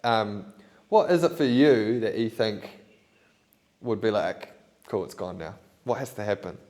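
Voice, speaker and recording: A man's voice: fairly low pitch, measured pace, darkish tone. A man hosting an interview, thinking aloud in long, rambling turns full of "you know" and "bro". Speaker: podcast host. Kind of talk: casual interview chat.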